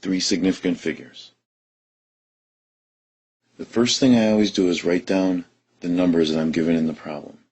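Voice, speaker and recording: A man with a very deep, husky voice teaching chemistry. He talks through each step of a problem in a steady, methodical way. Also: normal speed, long pauses. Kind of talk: recorded lesson explanation.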